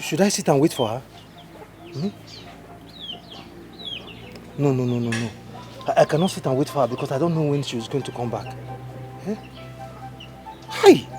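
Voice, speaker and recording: An actor playing a lecherous, scheming village man in a melodrama, with a Nigerian accent and an excitable, stammering, pushy way of talking. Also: mid-range voice, close to an everyday voice. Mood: scheming, restless. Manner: excitable, repetitive stammering pleading. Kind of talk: village melodrama film acting.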